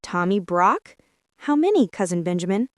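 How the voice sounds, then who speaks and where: A woman's voice: mid-range voice, big pitch swings, normal speed, no room sound, close to an everyday voice. A young male rabbit from a storybook, as a woman reader puts him on, composed and thoughtful. He reasons aloud in slightly formal phrases. The recording is close and dry. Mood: puzzled, concerned. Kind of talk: storybook character voice.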